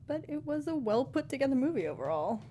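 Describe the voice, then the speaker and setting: A woman's voice: sits high, big pitch swings, fast, warm tone, slight background noise. A young woman co-hosting a casual movie discussion, speaking close to a studio microphone. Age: young adult. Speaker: show co-host. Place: small indoor podcast studio. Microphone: close studio microphone with foam cover, headset monitoring.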